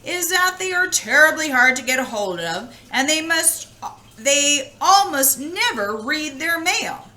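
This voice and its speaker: A pompous storybook king, read by a woman in a high, bright voice. He is a proper royal father who speaks in a measured, instructive way. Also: sing-song, full character voice. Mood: resigned. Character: proper, sighing fairy-tale king, advising father.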